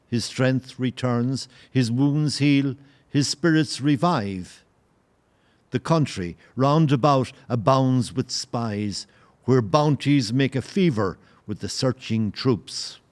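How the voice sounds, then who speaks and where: A man as a very slow, solemn storyteller. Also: medium pitch, lightly acted. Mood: wondering. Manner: measured, literary, grave. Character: solemn storyteller narrator of old sea tale. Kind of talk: scripted dramatic narration.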